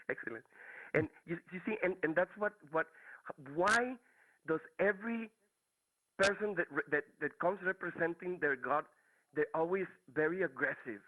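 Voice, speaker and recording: A man's voice: on the high side, narrow, tinny audio. A man from Mexico with a Mexican Spanish accent, calling in to a talk show and searching for words with many restarts. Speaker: caller. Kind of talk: casual call-in chat.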